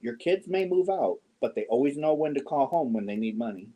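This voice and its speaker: A man in his fifties chatting casually with viewers on a yarn livestream, joking and often clearing his throat. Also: mid-range voice, animated, a little fast, mellow. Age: 50s. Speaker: yarn channel host. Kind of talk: casual livestream chat answering viewer comments.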